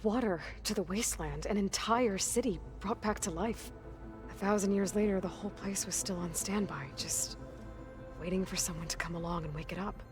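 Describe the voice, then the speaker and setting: A woman's voice: mid-range voice, normal speed, natural voice. An actress playing an earnest, inquisitive young woman adventurer in a video game, asking questions in a matter-of-fact way. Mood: reflective. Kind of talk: video game cutscene dialogue. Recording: game music behind the voice. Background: music.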